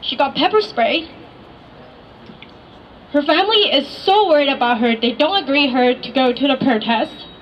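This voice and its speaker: High-voiced young woman. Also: moderate pace, long pauses. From Hong Kong. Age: 20s. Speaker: rally speaker. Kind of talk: personal speech at a rally. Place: outdoor city street rally. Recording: dull outdoor recording, faint noise.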